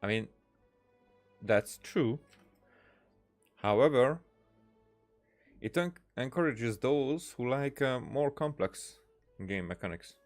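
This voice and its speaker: A man's voice: medium pitch, animated, takes it slowly, long pauses, soft background music. A man doing casual let's-play commentary, reading game dialogue aloud in a hesitant way with many "uh"s and restarts.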